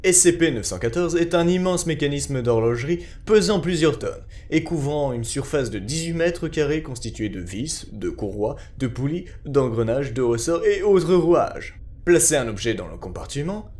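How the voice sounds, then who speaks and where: A man's voice: on the high side, a little mellow. A man giving a scripted narration in a formal, clinical report style.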